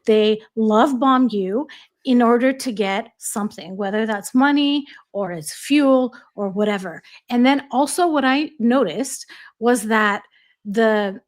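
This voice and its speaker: An American woman hosting a casual chat, telling stories quickly and laughing often. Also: on the high side. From United States. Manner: chatty, quick storytelling with frequent laughs. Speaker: host.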